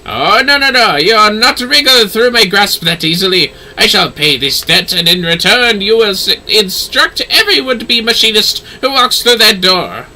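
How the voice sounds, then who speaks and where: A man's voice: high-pitched, expressive, normal speed, few pauses, bright, forceful tone, exaggerated voice, poor audio. A man voicing an eloquent, effusive nobleman from a fantasy game, with florid, theatrical phrasing. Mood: indignant. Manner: florid, theatrical, formal speech. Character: flamboyant, eloquent nobleman, effusive patron of a workshop. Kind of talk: fantasy game dialogue read aloud.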